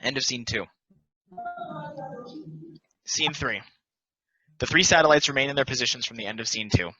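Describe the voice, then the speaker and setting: A man's voice: medium pitch, moderate pace, sharp tone, lo-fi sound, soft background music. A man reading stage directions as scripted narration for a play table read.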